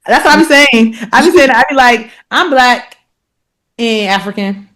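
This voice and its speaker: A woman's voice: a little high, a little slow, a bit bright. A woman from the United States joking playfully in a casual podcast chat.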